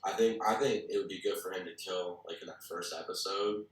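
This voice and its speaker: A man's voice: low voice, steady tone, a little fast. A man giving casual feedback in a workshop discussion, phrasing things loosely and hesitantly with lots of filler hedges. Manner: hesitant phrasing, hedging with many "like" and "kinda". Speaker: participant giving script feedback at a table read. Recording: echoey room, far from the mic.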